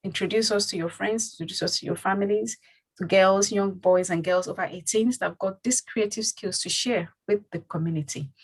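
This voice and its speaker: Husky woman hosting a community show. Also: mid-range voice, brisk, poor audio. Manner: rambling, with many fillers and restarts. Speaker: host. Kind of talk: casual community show presenting.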